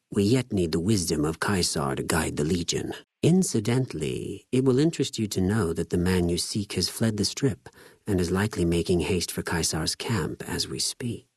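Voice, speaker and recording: A low-voiced, coldly menacing fanatical spy. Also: male voice, breathy edge, dry recording, studio quality. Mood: formal, composed. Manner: measured, formal, contemptuous, menacingly polite. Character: cold, fanatical male legion spy and infiltrator, menacingly courteous. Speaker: video game villain. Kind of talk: scripted game character dialogue.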